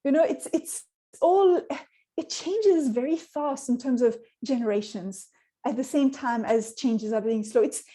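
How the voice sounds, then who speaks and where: A woman's voice: high voice, unhurried, dark tone. A woman scientist whose first language is Swedish answers audience questions in a thoughtful way, with many restarts and fillers. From Sweden. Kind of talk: online Q&A answer.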